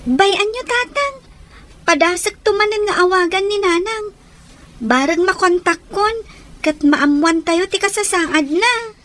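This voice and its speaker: Earnest, very high-voiced Ilocano woman. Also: expressive, unhurried, fairly bright tone, heavily acted. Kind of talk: radio drama acting.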